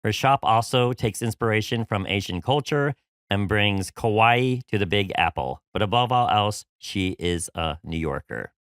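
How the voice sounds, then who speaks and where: A man's voice: lowish voice, expressive, a little slow, a bit bright, clear, resonant tone, no echo, clean studio sound. A man from New York hosting a podcast interview, talking in an upbeat, effusive way with many "you know"s. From New York City. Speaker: podcast host. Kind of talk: casual interview chat.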